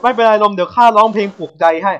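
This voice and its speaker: A man's voice: high voice, racing, dull audio, close to an everyday voice. A man playing a helpful, meddling cloud in an improvised comic fable. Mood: eager, helpful. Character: meddling, helpful male cloud cheering on the Wind.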